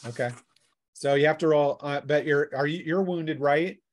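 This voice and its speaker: A man's voice: fairly high pitch, fairly even intonation, moderate pace. Man running a casual tabletop game. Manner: playful, colloquial. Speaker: game master. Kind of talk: casual tabletop game narration with acted sound effects.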